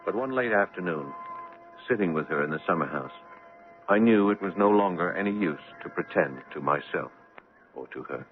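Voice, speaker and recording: Low-voiced, earnest young doctor. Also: male voice, lively intonation, faint music behind. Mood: wistful narration. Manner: earnest, agitated, halting in dialogue. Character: earnest, troubled young doctor, a devoted son. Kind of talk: 1970s radio drama acting with first-person narration. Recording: muffled vintage radio broadcast, narrow band.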